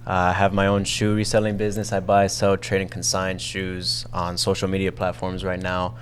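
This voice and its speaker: Very deep, flat-voiced young man. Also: normal speed, slight background noise. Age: young adult. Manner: relaxed, "you know" fillers. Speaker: entrepreneur guest. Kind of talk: casual interview answers.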